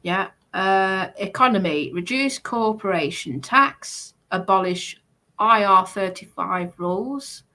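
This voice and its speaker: A British woman presenting informally, reading a list aloud in a halting, explanatory way and adding casual asides. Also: mid-range voice, pushed and bright. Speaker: presenter going through party policies.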